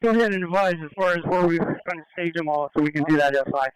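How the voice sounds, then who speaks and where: High-voiced male police officer on radio. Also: fast, rough recording. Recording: police scanner radio audio.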